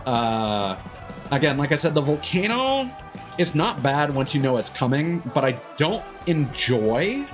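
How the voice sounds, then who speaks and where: A man casually commentating on a livestream, thinking aloud in a rambling way with hesitant pauses. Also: on the high side, big pitch swings, over music. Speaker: game livestreamer.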